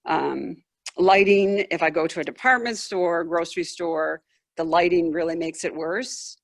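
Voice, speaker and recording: Woman with a creaky, gravelly edge. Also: fairly low pitch, a little slow. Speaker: patient asking a doctor questions. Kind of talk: conversational account of symptoms. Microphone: video call.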